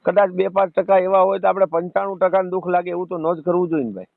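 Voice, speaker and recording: A man's voice: high-pitched, steady tone, fast, creaky, no echo. A man with a Gujarati accent, speaking persuasively and in a conciliatory way in an informal phone call. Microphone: telephone call. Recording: tinny, narrow phone line.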